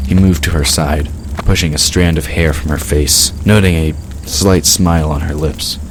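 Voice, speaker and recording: A man's voice: rumbling low, steady tone, normal speed, background noise. A man reading scripted narration for a fantasy audio drama.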